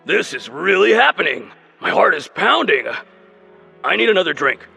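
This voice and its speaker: A man playing a jittery, gravelly séance guest. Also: high-pitched, big pitch swings, normal speed, bright, forceful tone, faint music behind, exaggerated voice. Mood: nervous.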